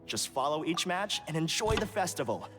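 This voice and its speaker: A man's voice: fairly high pitch, big pitch swings, brisk, over music. A man playing a polite, earnest academy official in an anime video game, speaking formally and courteously.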